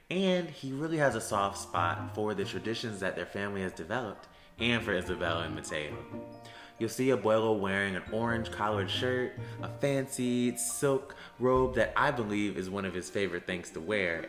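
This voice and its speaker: Upbeat man explaining in an echoey room. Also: lowish voice. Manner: upbeat, friendly teacher tone. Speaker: theater education associate. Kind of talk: explanatory talk to students. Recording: roomy echo with soft music.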